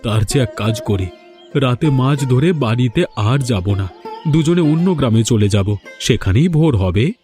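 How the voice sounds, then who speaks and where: A fed-up village fisherman from an animated folk tale, voiced by a man. His voice is mellow and middle-pitched, with a put-upon but determined manner. Soft music sits behind him. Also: moderate pace, close to an everyday voice. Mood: resolved, indignant.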